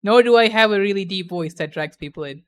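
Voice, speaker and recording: A woman's voice: medium pitch, fast talker, clean tone. Playful, laughing young woman streamer. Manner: laughs often, playful, opinionated. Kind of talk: casual stream chat.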